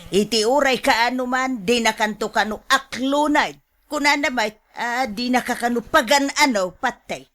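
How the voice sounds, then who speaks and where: An actress with an Ilocano accent playing a teasing, scheming, flirty younger woman in a radio drama, with playful, drawn-out delivery. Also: a little high, fairly bright tone, faint music behind, close to an everyday voice. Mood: gossipy, discouraging.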